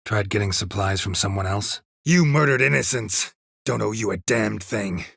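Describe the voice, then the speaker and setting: Gruff game monster hunter, super raspy low voice, dry and deadpan, close dry sound. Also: male voice, normal speed, no room sound, full character voice. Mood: matter-of-fact, wry, then bitter, accusing. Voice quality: low, gravelly, husky. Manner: terse, dry, deadpan, clipped sentences. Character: gruff, weary, sardonic male monster hunter for hire. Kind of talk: video game character dialogue.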